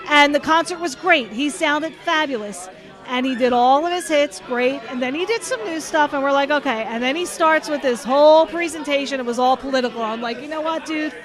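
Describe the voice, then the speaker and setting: A middle-aged woman with a cold talks in a high, nasal voice, rambling and joking with her co-hosts. Clear crowd noise runs behind her. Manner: chatty, joking, rambling with restarts. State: has a cold. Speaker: podcast co-host. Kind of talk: casual chat.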